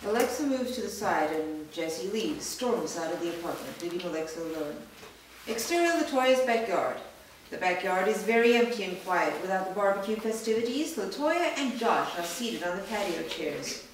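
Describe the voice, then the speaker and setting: A woman narrates stage directions from a script at a staged reading. Her medium-pitched voice is recorded from far away, with clear noise around it. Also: lively intonation, normal speed. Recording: very echoey room, distant mic, noisy.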